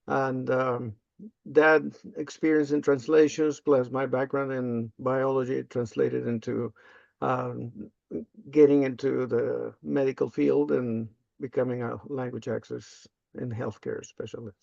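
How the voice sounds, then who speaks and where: An older man from Peru, a native Spanish speaker, answering interview questions casually and haltingly with frequent ums and uhs. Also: medium pitch, little pitch movement, vocal fry, a little mellow, no room sound. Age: 50s or older. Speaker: interview guest, language access specialist. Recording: slightly dull, lo-fi close audio.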